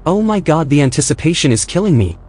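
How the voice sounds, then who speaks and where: Higher-voiced, matter-of-fact station technician. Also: male voice, big pitch swings, fast talker, a little mellow, a little hiss or hum. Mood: peevish. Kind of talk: science-fiction audio drama acting. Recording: music bed behind the voice.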